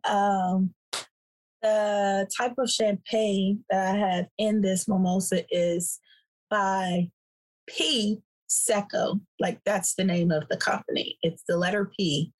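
A hoarse woman co-hosting a podcast, relaxed and easygoing, often breaking into laughter. Her voice sits at a middle height. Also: medium pitch, takes it slowly, rough recording. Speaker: podcast co-host. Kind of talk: casual chat.

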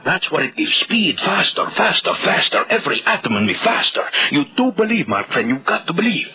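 A man's voice: fairly high pitch, sing-song, brisk, slightly rough, heavily acted. An actor playing a grandiose, power-mad scientist in an old radio thriller, insisting feverishly and repeating his words. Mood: feverish, exultant. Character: megalomaniac male scientist obsessed with a speed potion. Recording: dull, lo-fi old radio recording.

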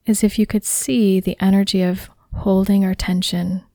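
A woman guiding a body scan meditation in a soft, soothing, unhurried way, with breath at the ends of phrases. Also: mid-range voice, level tone, no room sound, clean studio sound. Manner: soothing, unhurried, gently instructing. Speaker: meditation guide.

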